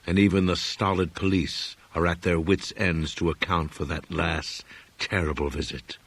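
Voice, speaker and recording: A man with a low, somewhat husky voice reads a horror story aloud. His delivery is solemn and deliberate, in the measured style of scripted audiobook narration. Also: flat delivery, a bit bright, no echo. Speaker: audiobook reader.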